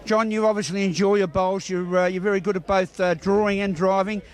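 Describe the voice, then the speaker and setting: A man's voice: high-pitched, level tone, fairly quick. An Australian man interviewing bowlers outdoors after a match, chatty and full of congratulations. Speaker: sports reporter. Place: outdoor bowling green.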